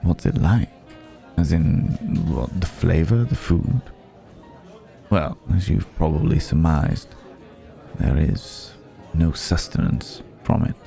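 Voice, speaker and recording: A man playing a suave, courteous vampire in an intimate ASMR roleplay, speaking in a refined, formal, gently amused way with lingering pauses. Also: low-pitched, animated, vocal fry, soft background music, full character voice. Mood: reflective, confiding. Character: suave, courteous male vampire dining with a mortal guest.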